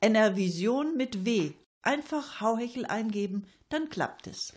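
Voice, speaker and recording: A woman's voice: a little high, expressive, unhurried, darkish tone. A woman hosting a radio show, reading scripted satirical announcements. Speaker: radio host.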